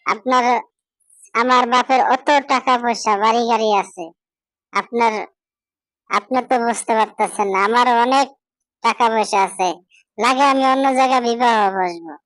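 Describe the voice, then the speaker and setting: A woman's voice: a little high, normal speed, long pauses, fairly bright tone, dry recording, close to an everyday voice, rough recording. A woman voicing a haughty, spoiled rich young daughter-in-law in a cartoon, defiant and boastful.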